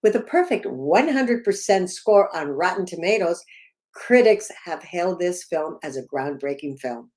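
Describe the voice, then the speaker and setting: A woman's voice: lowish voice, lively intonation, normal speed, a little mellow. A woman hosting an interview show, introducing her guest and asking questions. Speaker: interviewer and talk show host.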